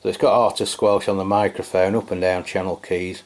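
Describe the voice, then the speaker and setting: A man with a distinctly low-pitched voice presents a hands-on review. He sounds like a channel host talking off the cuff, low-key and somewhat hesitant as he describes things piece by piece. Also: level tone, fairly quick. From England. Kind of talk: casual hands-on product review.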